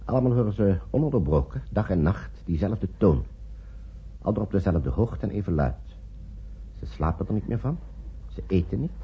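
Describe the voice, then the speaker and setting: An actor playing a measured, guarded male physician in a radio drama, speaking deliberately. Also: lowish voice, sing-song, breathy edge, exaggerated voice. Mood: grave, confiding. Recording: muffled old radio recording.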